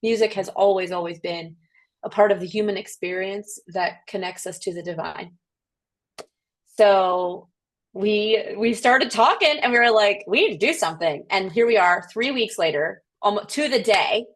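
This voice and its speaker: Chatty woman on a live call. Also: medium pitch. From Ireland. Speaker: singer and co-host. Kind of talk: casual live-stream chat.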